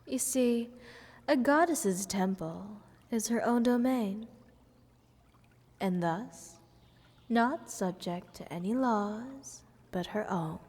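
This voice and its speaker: A woman voices a possessive goddess of stars in a fantasy audio drama. She speaks very slowly and teasingly, with a breathy softness that slips into whispers. Her condescending words ring out in a long, hall-like reverb. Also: a little high. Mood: scheming, amused. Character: playful, selfish, possessive goddess of stars toying with mortals. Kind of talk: scripted fantasy audio roleplay addressed to the listener.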